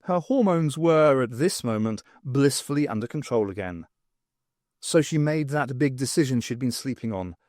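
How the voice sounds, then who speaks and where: A man reading a novel aloud as an expressive audiobook narrator. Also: medium pitch, moderate pace, no room sound, clean studio sound.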